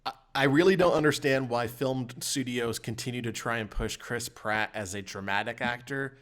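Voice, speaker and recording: A man's voice: medium pitch, moderate pace, fairly bright tone. A man co-hosting a podcast, chatting and commenting on news in a blunt, opinionated, casually sweary way. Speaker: podcast co-host.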